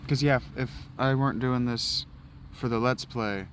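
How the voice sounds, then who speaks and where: A man's voice: mid-range voice, steady tone, normal speed, slight background noise. A man doing casual let's play commentary, rambling and goofy, humming nonsense and whining that he wants to play.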